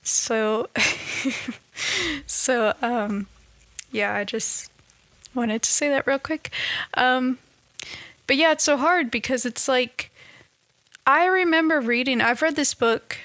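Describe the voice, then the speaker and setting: A woman talking in a casual, reflective monologue, halting and restarting often, with a slightly nasal, stuffed-up voice. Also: high-pitched, no room sound. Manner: halting, many restarts and soft laughs. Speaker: vlogger.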